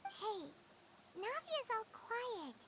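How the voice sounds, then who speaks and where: A woman voicing a tiny, childlike companion sprite with a squeaky, concerned manner in game dialogue. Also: big pitch swings, drawn-out words, heavy background noise, exaggerated voice. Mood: worried.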